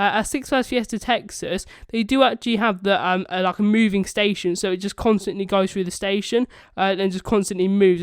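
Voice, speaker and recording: A man's voice: high-pitched, smooth, no echo, clean studio sound. A young man giving casual, enthusiastic, rambling commentary as the host of a video channel. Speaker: YouTube vlogger, channel host.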